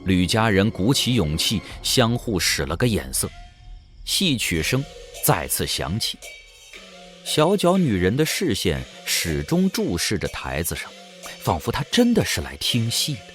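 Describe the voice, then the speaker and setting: Male narrator, dramatic audiobook style, suspenseful, fairly deep, lively intonation, background music. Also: unhurried. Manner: suspenseful storytelling.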